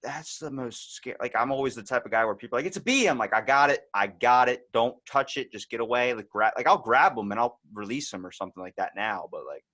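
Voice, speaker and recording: A man with a Baltimore accent hosting a podcast, talking casually in a joking, teasing, deadpan way. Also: mid-range voice, fast talker, few pauses. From Baltimore, USA. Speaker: podcast host. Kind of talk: casual chat.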